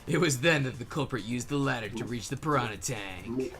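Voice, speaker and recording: A man's voice: mid-range voice, a little fast, a bit bright, soft background music. A man doing a dramatic scripted reading that he breaks up with joking, casual banter. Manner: jokey, stumbles over lines and breaks off into banter. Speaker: fandub performer reading the game's case summary.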